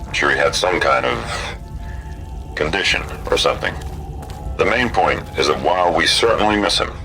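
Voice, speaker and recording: A man voicing an evasive, self-important billionaire leader in a video game, addressing people haltingly and glibly over music. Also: a bass voice, poor audio. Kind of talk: video game recorded announcement. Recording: music behind the voice.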